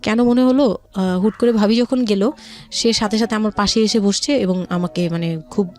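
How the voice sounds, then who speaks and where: A Bangladeshi woman telling her life story as a radio interview guest, speaking in a halting, confiding way with repeated words. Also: mid-range voice, a little mellow. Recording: music under the voice. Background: background music.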